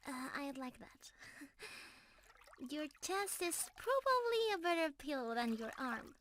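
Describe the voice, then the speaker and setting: A woman's voice: high voice, sing-song, takes it slowly, a bit bright, a little hiss or hum, heavily acted. A woman playing a sweet, bashful young mermaid in an intimate ASMR roleplay, affectionate and girlish, easily flustered and teasing. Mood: tender, shy.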